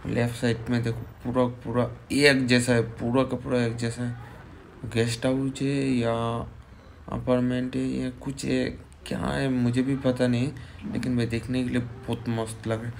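A man's voice: medium pitch, level tone, normal speed, creaky. A man giving casual, repetitive running commentary as a motovlogger while riding. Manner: repetitive, exclaiming at what he sees. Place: outdoors on a moving two-wheeler. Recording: faint road noise. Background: traffic and outdoor ambience.

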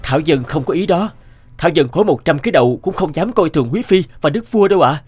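A humble, principled healer from an animated folk tale, courteous and earnest. He pleads politely but holds his ground, with clear music playing behind him. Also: fairly high pitch, quick pace, no echo. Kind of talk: animated moral tale dubbing.

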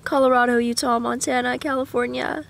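Young woman vlogger, a bit sick with a cough, gravelly fry, rambling chat to a handheld camera in her car. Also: a little high, little pitch movement, fairly quick. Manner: rambling, chatty, with sing-song asides. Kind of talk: casual chat. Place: parked car. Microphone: camera at arm's length.

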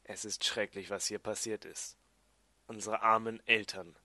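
A man voicing a young male dark elf, a secretive older brother with a subdued, evasive manner, in a fantasy audiobook. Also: fairly low pitch, fairly even intonation, a little slow, no echo, voice pushed down, heavily acted. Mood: grim, subdued. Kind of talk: audiobook character dialogue.

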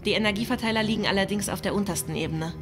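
Casting: efficient crewwoman on radio for space horror play female actor, rapid delivery over music. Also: medium pitch. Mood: brisk. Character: brisk female crew navigator giving directions. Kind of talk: sci-fi horror audio drama acting.

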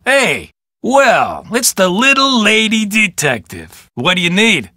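An actor playing a vain, boastful and defensive police detective in a video game, with an American accent and a self-important, dismissive manner. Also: male voice, high-pitched, huge pitch swings, slow pace, pushed and bright, no room sound, clean studio sound, exaggerated voice. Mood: cocky. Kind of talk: video game character acting.

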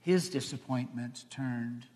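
A man's voice: medium pitch, slow, darkish tone. A man in his fifties, a pastor preaching a dramatic storytelling sermon with emphatic phrasing. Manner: emphatic, swelling and trailing phrases. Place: large church sanctuary, seated at front. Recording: echoey church hall, long reverb.

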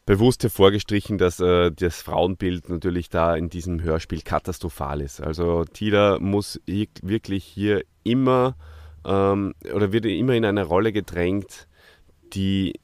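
Guy hosting a chatty review podcast, deep-ish low voice, halting delivery, really dry sound. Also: darkish tone, no echo. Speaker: podcast host. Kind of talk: casual podcast review chat.

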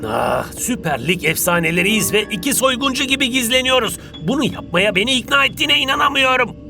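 A vain cartoon football star, high-voiced and gravelly at the edges. He is theatrical and sceptical, with clear music playing behind him. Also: sing-song, a little fast, pushed and bright, heavily acted. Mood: exasperated. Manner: theatrical, exasperated. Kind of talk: animated cartoon dub acting.

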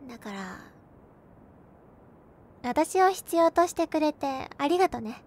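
A young woman playing a gentle, cheerful girlfriend who comforts her overworked boyfriend in an intimate audio roleplay, with a soft, playful manner. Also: high voice, sing-song, slow pace, exaggerated voice. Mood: reassuring, tender. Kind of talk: Japanese binaural situation-voice roleplay, spoken to the listener.

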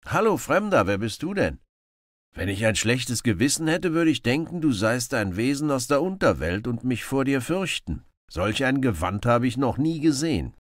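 A man's voice: mid-range voice, big pitch swings, normal speed, no room sound, clean studio sound, voice pushed up. A man voicing a friendly, fearless young outlaw hero in an audiobook, playful and teasing. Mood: bold curiosity. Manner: playful, mock-innocent, teasing.

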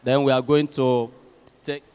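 A young Nigerian man with a Nigerian accent makes announcements at a church service in a large chapel, with many filler pauses, speaking into a handheld mic. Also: medium pitch, level tone, a little mellow, smooth. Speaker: master of ceremonies. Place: large university chapel hall. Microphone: handheld mic at a lectern. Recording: long hall reverb with faint music.

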